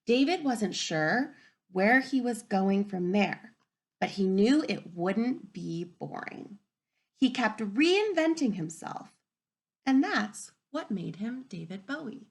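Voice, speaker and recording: A woman teacher reading a picture book aloud to young children, gentle and encouraging, often dropping to a soft whisper. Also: on the high side, lively intonation, takes it slowly. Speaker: kindergarten teacher. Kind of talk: read-aloud storybook lesson for young children.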